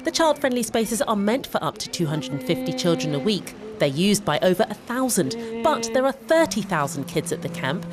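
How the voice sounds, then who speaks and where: A woman narrating a news report in a measured, scripted voice-over. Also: mid-range voice, very animated. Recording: voice-over with music underneath.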